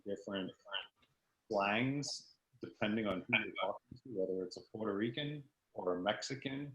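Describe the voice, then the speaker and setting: A man tells a relaxed story over a video call. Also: lowish voice, moderate pace, lo-fi sound. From Queens, New York. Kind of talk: casual video call chat.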